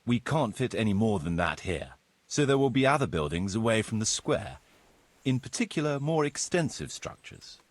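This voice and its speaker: Marius, husky formal medieval surveyor. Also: male voice, fairly low pitch, very animated, moderate pace, no room sound. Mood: formal, assured. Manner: formal, deferential, wordy, precise. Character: formal, courtly medieval locator Marius, eager planner and surveyor. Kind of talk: video game cutscene acting.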